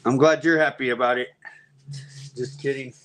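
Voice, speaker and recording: A man's voice: medium pitch, fairly even intonation, moderate pace, background noise. A man hosting a live custom paint demo in his shop, folksy and easygoing, thinking aloud while he works.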